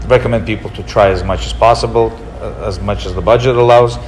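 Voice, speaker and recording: A man in his thirties from Russia, a restaurant owner giving a relaxed, explanatory documentary interview. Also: fairly low pitch, quick pace, low-quality recording. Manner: relaxed, explanatory, gestures while talking. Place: indoor room with leather couch.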